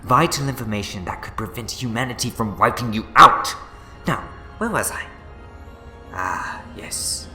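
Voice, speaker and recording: Give the voice of a scheming renegade Time Lord, acted by a man in a sci-fi audio drama. He is bitter and theatrical, with a forceful, bright delivery full of condescension. The sound is echoey, with faint music underneath. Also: medium pitch, lively intonation. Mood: condescending, smug. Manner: theatrical, condescending, deliberate speechifying.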